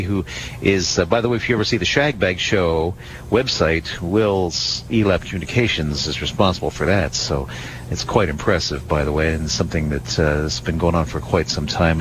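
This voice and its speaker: A man's voice: deep voice, animated, moderate pace. A man hosting a live radio talk show in a casual, chatty and jokey way. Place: live on location radio broadcast. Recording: faint background noise, live location radio.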